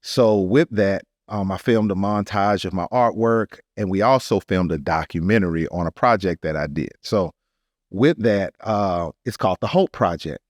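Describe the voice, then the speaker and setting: Male podcast guest, fairly deep voice, casual and plain-spoken, dry recording. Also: a little mellow, no echo. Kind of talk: casual conversational podcast talk.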